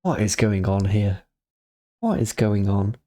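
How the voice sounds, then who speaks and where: A man's voice: on the low side, animated, unhurried, warm tone, faint music behind. A man streaming a reaction to a fan-dubbed game movie, chatty and wry with laughing asides. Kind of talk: casual reaction commentary.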